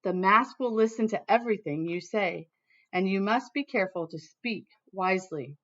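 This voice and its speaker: A woman's voice: mid-range voice, moderate pace, fairly bright tone, natural voice. A woman voicing an encouraging adult uncle who urges and advises in a storybook. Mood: encouraging, cautioning. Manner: urging, advising. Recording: dull, lo-fi sound.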